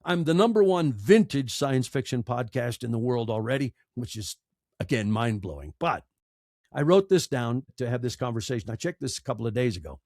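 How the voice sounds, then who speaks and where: A man in his sixties with an American accent, giving reflective, earnest interview answers in short, emphatic, deliberate phrases. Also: mid-range voice, big pitch swings, darkish tone, no room sound, studio quality. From United States. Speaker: podcaster and storyteller, interview guest.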